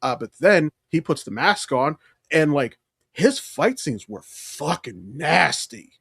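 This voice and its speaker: Animated man, very lively pitch. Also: slow, vocal fry, husky, no room sound, clean studio sound. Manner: animated, emphatic, freely profane. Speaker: podcast co-host. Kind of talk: casual opinionated pop-culture chat.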